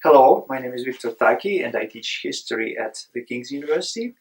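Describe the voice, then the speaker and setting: Man, halting. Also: mid-range voice, animated, low-quality recording. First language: Russian. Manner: hesitant, many fillers. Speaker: history lecturer. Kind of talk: interview answer.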